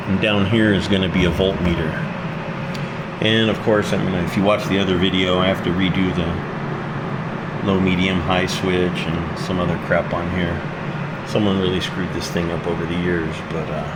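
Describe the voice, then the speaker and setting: Low-voiced man over loud background noise. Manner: blunt, colloquial, plain-spoken. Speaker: hobbyist presenter demonstrating his amplifier project. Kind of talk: informal hands-on explanation, unscripted. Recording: loud steady background hum.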